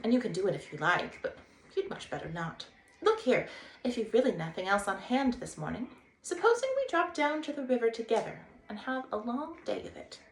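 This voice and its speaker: A woman's voice: on the high side, sing-song, fairly quick, pitched above the performer's own voice. A woman voicing a cheerful male water rat, a dreamy boating enthusiast, in an emphatic, hearty, rhapsodic manner for a children's storybook. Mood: carefree, rhapsodic. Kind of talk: children's storybook read-aloud. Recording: roomy echo, faint background noise.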